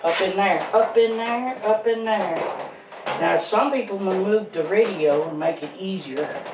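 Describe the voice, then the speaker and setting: American male vlogger, folksy and off-the-cuff, gravelly delivery, faintly noisy action-camera sound. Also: high-pitched, a little slow, muffled recording. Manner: folksy, rambling, muttering to himself. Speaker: DIY motorcycle vlogger. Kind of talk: casual unscripted how-to vlog chat. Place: shed workshop.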